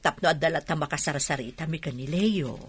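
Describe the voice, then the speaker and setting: A woman's voice: low voice, fairly quick, husky. A woman with an Ilocano Filipino accent playing a hospitable older village mother in a radio drama. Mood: welcoming.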